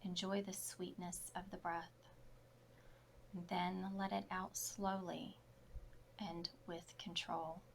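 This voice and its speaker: A woman yoga instructor leading a guided relaxation meditation in short, soft, measured phrases. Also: fairly low pitch, flat delivery, vocal fry, a little hiss or hum, low-quality recording.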